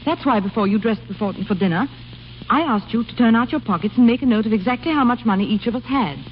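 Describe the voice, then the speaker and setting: A woman's voice: fairly high pitch, expressive, quick pace, dull audio, natural voice. An actress playing a haughty, imperious noblewoman in a theatrical, commanding manner in a 1930s radio drama. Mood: brisk, commanding. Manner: theatrical, commanding, sharply questioning. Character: haughty, imperious exiled noblewoman, proud and easily offended. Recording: scratchy, thin old radio recording with hiss.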